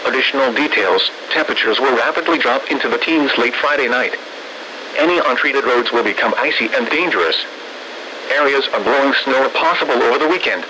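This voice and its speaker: Bright synthetic male weather radio announcer. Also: medium pitch. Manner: measured, impersonal, formulaic synthetic delivery. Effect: synthesized voice over weather radio. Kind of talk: scripted marine forecast and weather bulletin reading. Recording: noisy weather radio broadcast.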